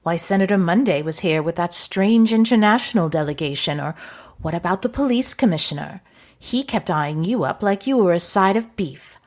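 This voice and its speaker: A woman playing a brisk, gossipy housekeeper with a sly side in an old-time radio drama. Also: on the low side, animated, a little mellow, close to an everyday voice. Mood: gossipy, deferential. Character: brisk, gossipy female housekeeper, secretly a conspirator.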